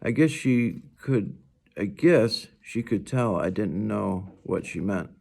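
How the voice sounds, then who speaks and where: Guy reading a book out loud, real slow and kinda creaky, rereads bits when he trips. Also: on the low side, lively intonation. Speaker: teacher reading a novel aloud. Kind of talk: read-aloud storytelling in a boy's first-person narration.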